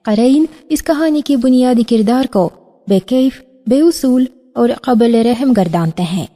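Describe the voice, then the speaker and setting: A woman's voice: a little high, expressive, measured pace, warm tone. A woman announcer giving a formal, scripted introduction to a radio drama. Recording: archival radio, long reverb, faint music.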